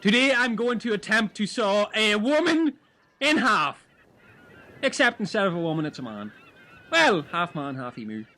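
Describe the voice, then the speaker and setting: Male actor as a high, brassy, self-important magician; comic villainy. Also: big pitch swings, normal speed, bright, forceful tone, full character voice. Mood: showy. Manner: grandiose, drops to low menacing tones. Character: pompous shrill male magician, scheming and theatrical. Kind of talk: comedy audio sketch.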